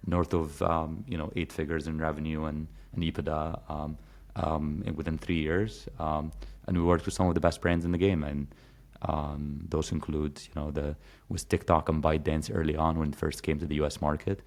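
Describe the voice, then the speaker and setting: Podcast guest: man in his thirties from Egypt, deep and even, loose and chatty with many restarts. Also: moderate pace. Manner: rambling, many fillers and restarts. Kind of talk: casual conversation.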